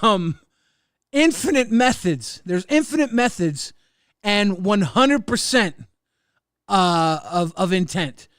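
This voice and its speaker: High-voiced, rambling man host. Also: fairly bright tone, smooth, no echo, clean studio sound. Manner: rambling, halting, swears, laughs often. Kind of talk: casual livestream podcast chat, reading chat messages.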